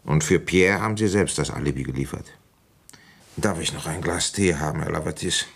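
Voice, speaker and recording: An actor playing a shrewd village police commissioner in a German radio crime drama, narrating his case in a measured, reflective, conversational way. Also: rumbling low, creaky, a little hiss or hum. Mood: calm, probing.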